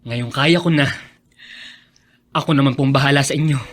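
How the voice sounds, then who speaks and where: An actor playing a devoted, determined young son in a Filipino radio drama, speaking earnestly and respectfully. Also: male voice, mid-range voice, normal speed, lightly acted. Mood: earnest, resolute. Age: young adult.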